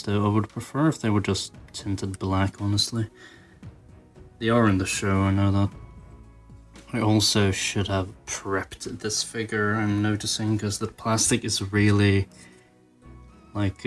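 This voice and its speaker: A man giving informal, self-deprecating hobby commentary, thinking aloud as he works through a craft project. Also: on the low side. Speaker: toy customizer, channel host. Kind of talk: casual rambling hobby commentary while painting.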